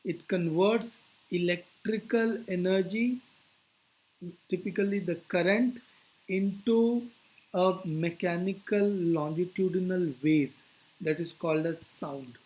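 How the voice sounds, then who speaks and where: A physics teacher giving an explanatory lecture, phrasing things deliberately and posing rhetorical questions. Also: mid-range voice, darkish tone, low-quality recording.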